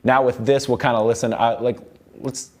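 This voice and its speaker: A young man explaining music software in a casual, loosely worded tutorial style, repeating words as he goes. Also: medium pitch, steady tone, moderate pace. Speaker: music production instructor. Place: recording studio control room.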